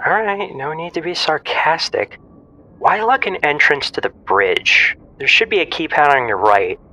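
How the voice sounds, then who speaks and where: An actor playing a flippant, excitable spaceship partner in a sci-fi audio drama, with a casual, teasing manner. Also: medium pitch, measured pace.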